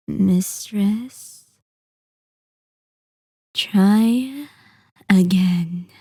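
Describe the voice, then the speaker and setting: A woman playing a sadistic, possessive vampire mistress in an ASMR roleplay, speaking softly and breathily with lingering, condescending endearments. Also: mid-range voice, no room sound, studio quality. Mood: commanding, predatory.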